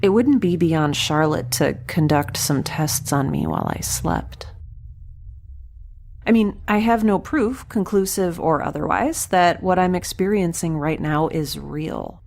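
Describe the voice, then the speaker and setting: A woman's voice: fairly low pitch, a little slow, a little mellow. An actress playing an anxious, wry young woman scientist, recording a rambling, self-deprecating log for a sci-fi audio drama. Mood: weary, uneasy. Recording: clear background noise with ambient sound.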